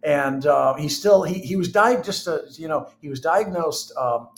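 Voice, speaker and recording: A man's voice: on the high side, moderate pace, a little hiss or hum. A middle-aged man telling personal stories candidly and conversationally as an interview guest.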